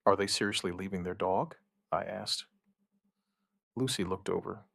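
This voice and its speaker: An actor playing a shaken outbreak survivor, giving his account in halting, somber, dramatic narration with short deliberate phrases. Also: sits low, gravelly, fairly bright tone, no echo, studio quality, heavily acted. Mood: quietly determined. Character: shaken male outbreak survivor recounting events, dryly stubborn. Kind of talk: dramatic first-person scripted narration, witness account.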